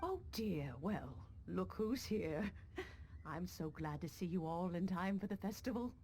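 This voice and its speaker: An actress playing a kindly, teasing elderly granny in a video game cutscene, genteel and gently chiding in old-fashioned wording. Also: female voice, on the low side, animated, measured pace, slight background noise. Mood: warm, gracious. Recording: music behind the voice. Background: background music.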